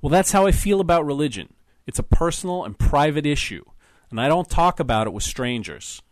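Man voicing a blunt wry guy, dry delivery, lively intonation, dry close sound. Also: fairly high pitch, brisk, no room sound, voice pushed up.

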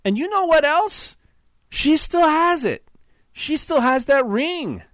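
A man voicing a drunk young Scandinavian man who is boastful and jealous, talking loud and rambling with slurred words. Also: very high-pitched, expressive, takes it slowly, no room sound, pitched above the performer's own voice, exaggerated voice. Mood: drunk, indignant.

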